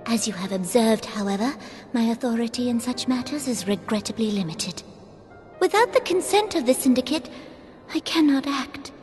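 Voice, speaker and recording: Actress playing regal gentle fantasy queen type, highish voice, formal n regretful, echoey hall. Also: animated, normal speed, close to an everyday voice. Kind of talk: dramatic fantasy game dialogue. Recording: long hall reverb with faint music.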